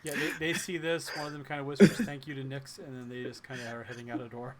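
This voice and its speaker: A man's voice: medium pitch, level tone, fast, crowd noise. A man acting as game master in a live tabletop role-playing session, narrating and calling for dice rolls. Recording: clearly noisy room background.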